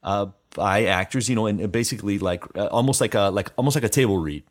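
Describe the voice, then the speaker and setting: A man hosting a casual interview, speaking with enthusiasm in halting, conversational phrases. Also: fairly low pitch. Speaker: interview host. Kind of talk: casual chat.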